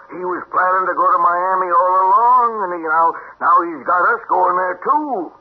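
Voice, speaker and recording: An actor playing a suspicious, obsessed treasure-hunting boatman with an agitated, halting delivery in a 1970s radio drama. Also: high voice. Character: suspicious, obsessed male treasure-hunting boat owner, uneasy temper. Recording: muffled old radio broadcast, light hiss.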